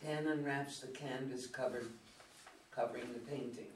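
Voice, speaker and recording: A man's voice: medium pitch, steady tone, moderate pace. A man reading and speaking plainly at a staged script reading. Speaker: reader of stage directions. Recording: roomy, distant mic, faint noise.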